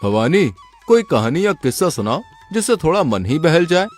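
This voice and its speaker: Animated, boastful village swindler, acted by a man. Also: a little high, normal speed, faint music behind. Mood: gleeful. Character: boastful village swindler, scheming elder brother. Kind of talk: Hindi folk tale dialogue.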